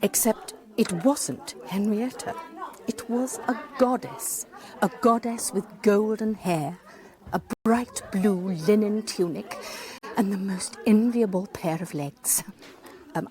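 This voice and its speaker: A woman's voice: lowish voice, unhurried, mellow. Wry, confiding British woman. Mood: chatty, amused. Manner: chatty, dryly humorous, confiding. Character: wry, self-deprecating lady psychologist, former French teacher. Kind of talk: radio drama first-person narration.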